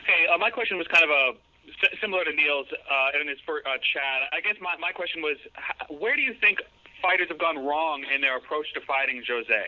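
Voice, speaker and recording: A man's voice: a little high, lively intonation, a little fast. A man who is a reporter, asking a hesitant question on a conference call over the phone. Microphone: telephone. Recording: dull phone line.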